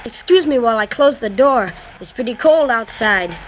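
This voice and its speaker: A woman's voice: on the high side, expressive, normal speed, close to an everyday voice. A woman playing a polite, helpful little elf doorkeeper in a 1930s children's radio serial, courteous and welcoming. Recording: dull, hissy 1930s radio recording.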